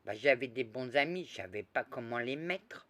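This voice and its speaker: A woman telling a rambling, lively story in a casual spoken way, full of restarts and asides. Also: extremely low pitch, moderate pace. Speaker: storyteller recounting past lives.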